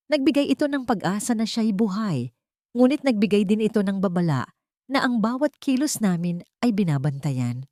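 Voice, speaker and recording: A woman's voice: medium pitch, a little mellow, no echo, studio quality. A woman reading a scripted dramatic audio story as its first-person narrator.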